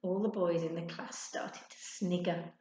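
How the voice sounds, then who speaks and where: A woman's voice: fairly low pitch, steady tone, a little slow, dark tone, a little hiss or hum. A woman narrating a children's storybook expressively, like a teacher reading to her class. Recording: echoey, roomy sound.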